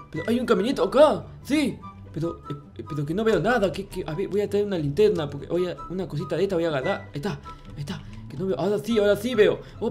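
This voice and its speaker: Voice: medium pitch, close to an everyday voice. A performer voicing a naive, easily frightened childlike boy in a Minecraft roleplay, whiny and stammering as words repeat. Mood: nervous, hushed. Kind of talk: improvised in-character gaming roleplay.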